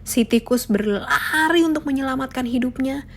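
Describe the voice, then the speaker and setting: A woman's voice: sits high, moderate pace, slight background noise. A woman narrating a scripted children's fable as a storyteller.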